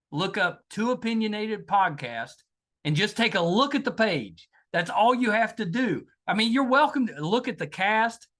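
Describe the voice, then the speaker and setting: An older man speaks as a podcast host in a bright, forceful voice pitched a little high. His manner is effusive, and he tends to repeat phrases for emphasis. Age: older, grandfather. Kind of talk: podcast host talk and interview.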